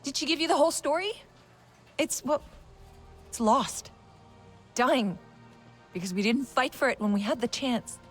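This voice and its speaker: A woman playing an eager, committed human resistance scientist in a video game, chatty and expressive. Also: high-pitched, unhurried, pauses often, faint music behind. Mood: earnest, determined. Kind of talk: naturalistic video game acting.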